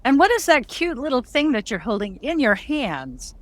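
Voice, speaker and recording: A woman's voice: high voice, very animated, normal speed, no room sound. A woman hosting a casual interview, asking questions in a playful, joking way. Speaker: interviewer and host.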